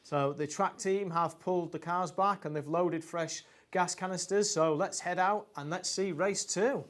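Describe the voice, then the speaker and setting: A man's voice: high-pitched, steady tone, a little slow. A man presenting a live school car racing show, upbeat, handing over between the commentators, with a few fillers. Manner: upbeat, links segments, some fillers.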